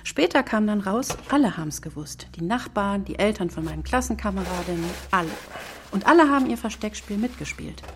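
A woman's voice: medium pitch, expressive, a little fast, slight background noise, close to an everyday voice. An actress playing a weary but loving mother in a German children's radio play. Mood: bitter.

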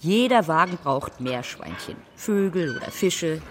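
A woman's voice: low voice, big pitch swings, unhurried. A middle-aged mother in a radio play, weary and dry with sarcasm. Her intonation moves widely up and down. Faint noise sits behind the voice. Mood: sarcastic. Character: weary, sardonic mother of two children. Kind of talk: naturalistic radio play acting.

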